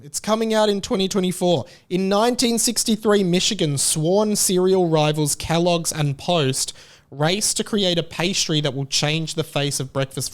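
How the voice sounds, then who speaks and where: A man, hosting a solo podcast in an excitable, rambling way. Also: high-pitched. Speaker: podcast host.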